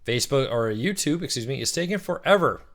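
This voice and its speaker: A man hosting a casual weekly live-stream Q&A, greeting viewers and answering their questions, his voice a little rough from cheering. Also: mid-range voice, lively intonation, fast, a bit bright. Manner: chatty, reads out viewer comments and greets them by name.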